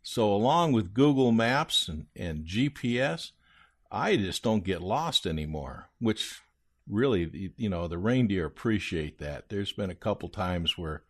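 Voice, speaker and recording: Man playing a low-voiced, folksy old Santa Claus. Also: expressive, a little slow. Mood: chatty, reassuring. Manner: rambling, hesitant, folksy storytelling. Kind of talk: improvised in-character answers on a children's radio-style podcast.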